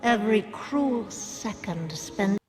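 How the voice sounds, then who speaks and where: An actress playing a cold, commanding, bitter villainess in a game cinematic, sardonic and deliberate. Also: female voice, medium pitch, animated. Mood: cold, menacing. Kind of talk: dramatic game cinematic acting. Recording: long reverb under cinematic music.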